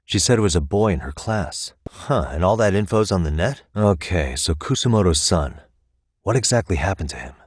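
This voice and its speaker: An actor playing an earnest, analytical detective in a video game, talking through deductions in a measured, thoughtful way. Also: male voice, deep voice, animated, slightly rough, no echo, clean studio sound. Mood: resolved. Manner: measured, thoughtful, matter-of-fact delivery. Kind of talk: English video game voice acting, conversational deduction.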